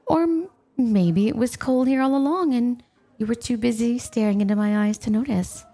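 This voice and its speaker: A woman's voice: on the high side, moderate pace, warm tone. A woman playing a playful, possessive snow spirit in an ASMR roleplay, coaxing and teasing the listener with coy, intimate talk. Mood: sly, flirtatious. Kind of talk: ASMR roleplay, scripted, intimate one-sided dialogue.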